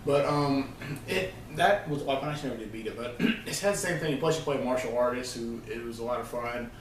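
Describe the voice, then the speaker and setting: A man's voice: mid-range voice, quick pace. A man chatting casually and unscripted about his opinions as a video co-host, rambling and emphatic. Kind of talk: casual unscripted gaming discussion. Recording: roomy, distant mic, faint noise.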